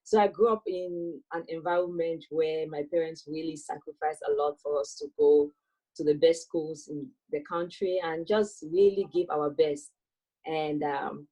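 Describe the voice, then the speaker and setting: A woman, speaking as a guest on a video call. her voice is fairly low and mellow, and she tells her story thoughtfully, pausing on lots of "um"s and "uh"s. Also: poor audio. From Cameroon. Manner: thoughtful, with many fillers and soft laughs. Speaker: guest, electrical engineer. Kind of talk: personal storytelling in an interview.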